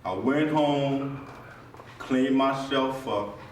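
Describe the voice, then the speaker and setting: A man's voice: medium pitch, extremely slow. A plain-spoken man giving personal testimony as a colloquial story. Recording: very echoey room, distant mic, faint noise.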